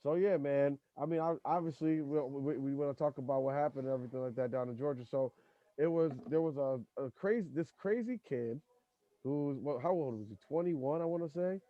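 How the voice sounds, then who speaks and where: A man's voice: fairly high pitch, brisk, no echo. A man hosts a podcast in easygoing, profane chat, often stopping and restarting his sentences. The mic sounds dry and a bit dull. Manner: loose, profane, talks in restarts. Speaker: podcast host.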